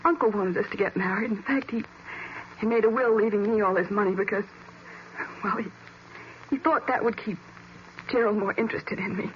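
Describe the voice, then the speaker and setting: A woman playing a hesitant, trusting young niece with an American accent, halting and fragile in 1940s radio acting style. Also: medium pitch, close to an everyday voice. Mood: hesitant, upset. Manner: halting, faltering. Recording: muffled, hissy old radio recording.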